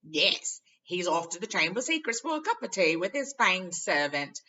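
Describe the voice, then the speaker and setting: A woman voicing a gleefully mocking teenage prankster boy in a storybook reading, announcing things loudly. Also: sits high, big pitch swings, moderate pace, distant mic, lo-fi sound, pitched above the performer's own voice, full character voice. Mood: teasing. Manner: loud mock announcing. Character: teenage prankster wizard boys, loud, teasing, gleefully mocking.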